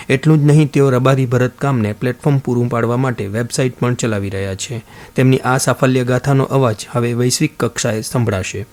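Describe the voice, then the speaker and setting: A man with a Gujarati accent reads scripted radio news at a medium pitch. His phrasing is formal and measured, in the manner of a bulletin. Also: darkish tone. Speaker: radio newsreader.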